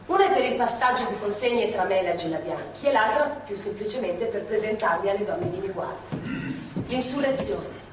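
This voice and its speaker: A woman's voice: mid-range voice, lively intonation, moderate pace, lightly acted. An actress playing an agitated wartime woman partisan, telling her story in an urgent theatrical monologue that repeats phrases. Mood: reflective. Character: Lia, woman partisan courier in wartime Milan, agitated narrator. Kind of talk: theatrical monologue with acted dialogue. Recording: distant echoey stage recording with noise.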